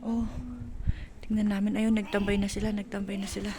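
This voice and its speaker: A woman with a Filipino accent chatting casually and haltingly for a vlog while walking outdoors, mixing in English phrases. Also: medium pitch, monotone. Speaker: vlogger. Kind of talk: casual walk-and-talk vlog chat. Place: outdoors on a windy countryside walk. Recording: noisy windy outdoor ambience.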